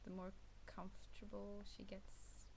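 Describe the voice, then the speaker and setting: A woman's voice: medium pitch, monotone, measured pace, gravelly, darkish tone, a little hiss or hum, low-quality recording. A young Swedish woman, not a native English speaker, talking hesitantly in a casual personal vlog, with many fillers and restarts. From Sweden. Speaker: vlogger.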